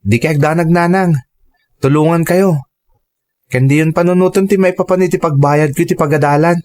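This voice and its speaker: A man plays a dutiful young male student in an audio drama. His voice sits fairly high for a man, and he speaks with earnest respect, like a son who puts his family first. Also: fairly quick, a little mellow, close to an everyday voice. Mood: reassuring.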